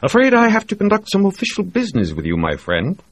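A smug English ship's doctor delivers his lines with a leer, pitched well above the reader's deep voice and pushed louder. A man reads him, his tone husky and close to the mic. It is a sly, mocking performance. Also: wildly swooping pitch, fast, dry recording, exaggerated voice. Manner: smug, teasing, with a crooked grin.

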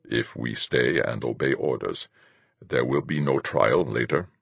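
A man's voice: extremely low pitch, animated, measured pace, gravelly, fairly bright tone, husky, no room sound, heavily acted. A man voicing a meek, nervous male crewman who speaks in a pleading, hesitant way.

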